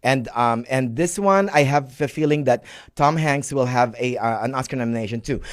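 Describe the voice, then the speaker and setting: A man giving movie reviews on a talk show, animated and playful. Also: mid-range voice, moderate pace. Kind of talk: radio movie review chat.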